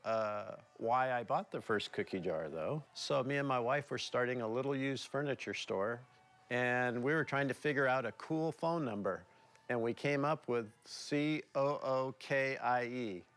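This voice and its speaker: A man's voice: medium pitch, measured pace, a bit bright. A man who owns a store, recalling things casually as an interviewee. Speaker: interviewee, furniture store owner.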